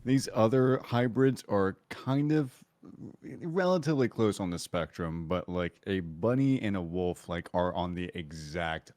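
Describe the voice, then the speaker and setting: A man's voice: low-pitched, lively intonation, measured pace, darkish tone, no echo, clean studio sound. A man on a podcast panel discussing casually, hedging as he works out his opinion.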